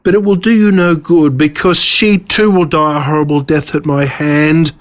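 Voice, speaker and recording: Man putting on a high-pitched, pompous gloating villain. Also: steady tone, normal speed, natural voice. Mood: menacing, bitter. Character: smug, menacing, theatrical villain man commanding ants.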